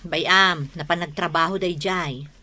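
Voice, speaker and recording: Evasive, house-proud wife and mother; forceful bright voice, Ilocano accent, radio drama. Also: lowish voice, fairly even intonation, unhurried.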